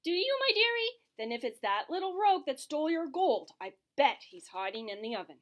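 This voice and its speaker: A woman's voice: high-pitched, very animated, a little fast, a bit bright, pitched above the performer's own voice. A woman voicing an ogre's big, bossy wife who scolds and coaxes in a children's puppet show. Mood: coaxing, scolding. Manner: sing-song, swooping between scolding and coaxing.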